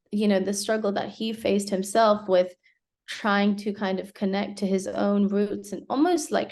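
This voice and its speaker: A young woman from Hong Kong talks through her thoughts slowly and reflectively, often pausing on fillers and restarting her sentences. Her voice carries a gravelly vocal fry. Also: medium pitch. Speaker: interviewee. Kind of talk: casual interview.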